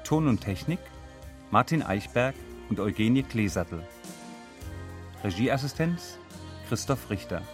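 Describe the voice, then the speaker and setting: A man reading the closing credits of a radio drama as an announcer, with deliberate, evenly spaced delivery. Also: mid-range voice, expressive, soft background music. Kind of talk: scripted broadcast credits announcement.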